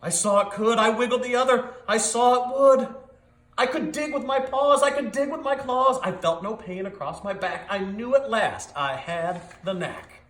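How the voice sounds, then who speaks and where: A man voicing a big, eager, clumsy dog telling his own story in an expressive, rhythmic storybook read-aloud with a roomy echo. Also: extremely high pitch, moderate pace, voice pushed up. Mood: excited, proud. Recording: echoey, roomy sound.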